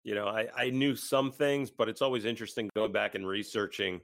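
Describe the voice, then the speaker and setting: A man's voice: lowish voice, steady tone, a little fast, a bit bright. A man co-hosting a podcast, giving earnest, reflective conversational commentary. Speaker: podcast co-host.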